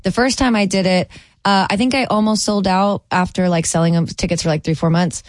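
A woman's voice: mid-range voice, steady tone, a little fast, dry recording. A woman from El Salvador who grew up in Georgia, a country singer talking enthusiastically as a radio guest. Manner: enthusiastic, fillers. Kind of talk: radio panel discussion.

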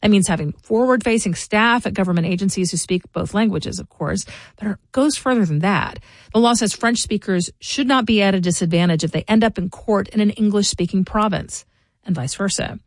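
A middle-aged woman hosts a local news podcast and reads scripted commentary in a measured, informative way. Her voice sits in a middle range. The recording is close and dry, with no room sound. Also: mid-range voice, no room sound. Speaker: podcast host.

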